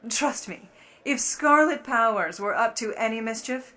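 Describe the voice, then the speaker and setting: A mischievous Hollywood starlet of the 1950s radio stage, played by a woman. Her voice is fairly high and swoops with lively expression, and she talks in an arch, unflappable, teasing way. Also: takes it slowly, background noise. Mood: arch, amused. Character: poised, mischievous Hollywood starlet and secret burglar. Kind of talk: 1950s radio play acting.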